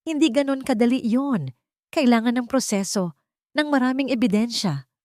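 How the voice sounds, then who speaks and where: A woman's voice: fairly high pitch, normal speed, a little mellow, no room sound, studio quality, pitched above the performer's own voice, natural voice. A woman voicing a cowardly male doctor in an audio drama, worried and cautioning as a reluctant accomplice. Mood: defensive.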